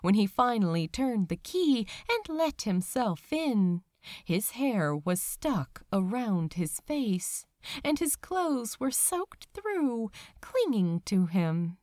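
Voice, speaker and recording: A woman narrates fiction in a dry recording. Her delivery is slow and measured, with sorrowful drama and a very lively, moving pitch. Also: dry recording. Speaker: fan fiction reader. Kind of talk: expressive scripted narration of a story.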